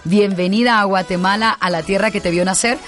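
A woman's voice: mid-range voice, fairly even intonation, brisk, fairly bright tone, faint music behind. A woman hosting an interview podcast, greeting listeners and asking her guest effusive questions. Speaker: podcast host.

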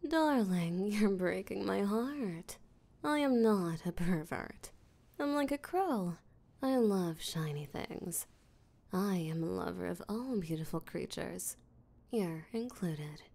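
Slow, creaky, seductive vampire voiced by a woman. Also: mid-range voice, lively intonation, a little mellow, full character voice. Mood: teasing, seductive. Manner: breathy, teasing, flirtatious, slow and deliberate. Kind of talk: intimate roleplay audio drama, spoken to the listener.

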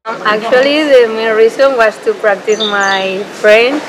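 A woman's voice: fairly high pitch, steady tone, a little slow. A young woman from Spain, an exchange student, answering hesitantly in an outdoor courtyard. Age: young adult. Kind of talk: casual interview answers. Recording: noisy outdoor recording.

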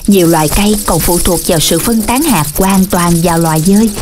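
A woman voicing a gentle, knowledgeable mother in a children's cartoon, explaining things patiently. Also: on the low side, brisk, noisy background, natural voice. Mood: instructive. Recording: music behind the voice.

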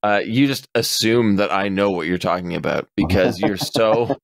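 A man in his forties on a podcast, chatting casually, animated and swearing freely as he acts out customers' lines. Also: lowish voice, normal speed, creaky, no room sound, studio quality. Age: 40s. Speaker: podcast co-host.

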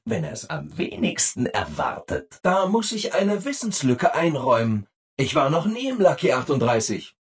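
A man's voice: fairly high pitch, breathy edge, exaggerated voice. A man voicing a relentlessly cheerful, eager-to-please robot assistant in a video game, with chirpy, over-eager, sing-song delivery. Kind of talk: video game character dialogue.

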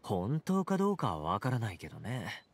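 An actor playing a teasing, playful young fighter in a Japanese game, casual and smooth-talking with an edge. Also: male voice, on the low side, huge pitch swings, normal speed, a little hiss or hum. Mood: probing. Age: young adult. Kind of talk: Japanese anime-game voice acting.

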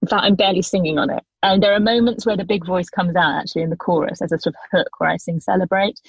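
A woman singer-songwriter in her thirties, answering interview questions thoughtfully with many pauses and restarts. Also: fairly low pitch, steady tone, gravelly, breathy edge, dry recording, muffled recording.

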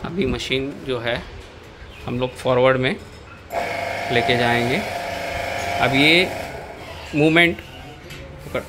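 A man's voice: mid-range voice, slow pace, pauses often. A man with an Indian accent explaining step by step how to operate a machine while he works it, recorded on a handheld phone in a workshop. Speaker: machine seller demonstrating equipment. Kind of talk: hands-on product demonstration. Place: indoor workshop floor. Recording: loud machine and handling noise.

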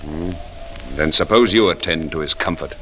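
An actor playing a bitter, hostile master of a moorland manor, curt and gruff, in 1940s radio drama style. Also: male voice, a bass voice, normal speed, sharp tone, soft background music. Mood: cold contempt. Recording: noisy old 1940s radio recording.

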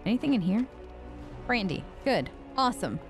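High, animated woman chatting through a game. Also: moderate pace, over music. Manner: flippant, chatty, joking in character. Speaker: let's play host. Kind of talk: casual improvised role-play game commentary.

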